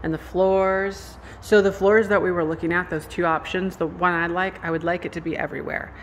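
A woman with a slightly low voice talks in a casual vlog style. She thinks aloud in an informal, exclamatory way. The handheld recording carries clear ambient noise behind her. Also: fairly even intonation, fairly quick, low-quality recording. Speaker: vlogger. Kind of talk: casual vlog chat to camera. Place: indoors, empty house rooms and a supermarket. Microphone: handheld phone or camera, held out. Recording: clear background noise, handheld camera audio.

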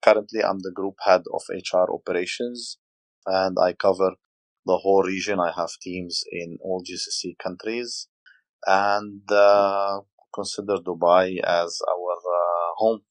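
Low-voiced Lebanese man in his forties. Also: flat delivery, unhurried, dry recording. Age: 40s. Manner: hesitant, many fillers, storytelling. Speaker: podcast guest. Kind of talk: casual interview talk.

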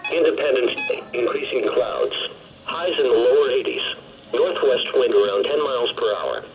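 A man's voice: medium pitch, expressive, normal speed. A synthetic man's voice reading a scripted weather bulletin in a formal way, as a weather radio announcer. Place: played through a small weather radio speaker, filmed indoors. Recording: muffled radio speaker with faint noise.

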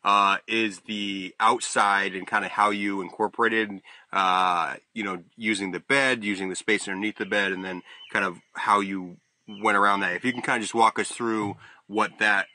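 A male podcast host with a very bright, forward voice and a close, dry sound. He interviews in a relaxed conversational style, often stopping and rewording his questions as he goes. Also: fairly low pitch, no room sound. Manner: halting, rephrasing questions as he goes. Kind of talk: casual interview chat.

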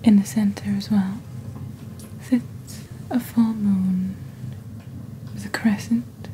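A young woman playing a genteel witch shopping-channel host in soft-spoken ASMR, with a breathy near-whisper and a deliberate, gently formal delivery. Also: medium pitch, steady tone, a little hiss or hum, lightly acted. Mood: soft, soothing. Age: young adult. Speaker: ASMR performer.

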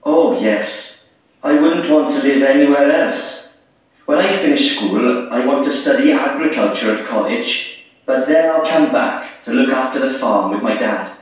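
Guy acting happy young farmer's son on radio interview, boomy echo, sounds far off. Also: a little slow, natural voice. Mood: contented. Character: contented young Welsh farmer's son, school age. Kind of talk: scripted textbook radio interview. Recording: distant muffled echoey playback through speakers.

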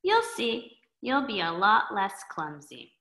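A woman's voice: very animated, gravelly, sharp tone, reverberant, exaggerated voice. A woman voicing an always-sleepy young girl in a storybook reading, yawny and drawn out. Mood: incredulous. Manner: yawny, drawn-out, high girlish voice.

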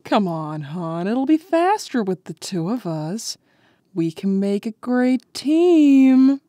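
A woman's voice: on the high side, sing-song, takes it slowly, mellow, dry recording, clean studio sound. A woman voicing a flirty, teasing bat thief in a cartoon comic dub, coy and playful. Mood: coaxing. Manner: coy, playful, drawling endearments.

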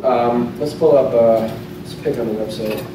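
A man in his thirties speaks at a lectern in a hotel conference room, talking loosely and often restarting his sentences as he walks the audience through a demo. His voice bounces around a very reverberant room and reaches the camera from a distance, with plain steady noise underneath. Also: mid-range voice, normal speed. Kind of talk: casual technical talk with live demo. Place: hotel conference room, in front of a projector screen. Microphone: lectern mic, recorded from a camera at the back of the room. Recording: very echoey room, voice from far off, clear noise.

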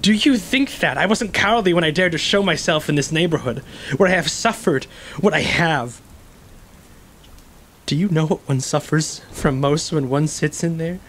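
Man acting a high-voiced, bitter ex-convict. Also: a bit bright, lightly acted. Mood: wounded, accusing. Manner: dramatic, halting, emphatic. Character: bitter, wounded male ex-convict, cunning and intense. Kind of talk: stage-play dialogue in an audio drama. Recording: rainy ambience and faint noise.

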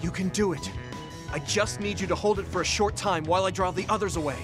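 A man's voice: sits high, fast talker, music in the background. An actor playing a kind, steadfast young male soldier in an anime game, earnest and reassuring. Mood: urgent.

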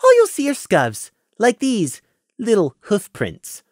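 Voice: mid-range voice, wildly swooping pitch, slow pace, a little mellow, dry recording, clean studio sound, voice pushed up, heavily acted. Narrator doing a mocking wary pony scout. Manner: sweetly mocking, hissing.

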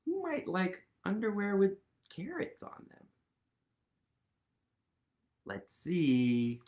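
A man's voice: sits high, huge pitch swings, very slow, darkish tone. A man in his thirties reading to children with playful, exaggerated wonder and questions to the listeners, recorded in a small room. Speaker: storytime reader. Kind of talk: animated children's picture-book read-aloud. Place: small room at home, indoors.

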